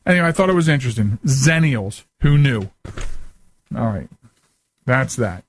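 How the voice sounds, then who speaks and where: A middle-aged man hosting a casual radio talk show, speaking informally with loose, halting asides into a close microphone. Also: medium pitch, animated. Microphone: close studio microphone.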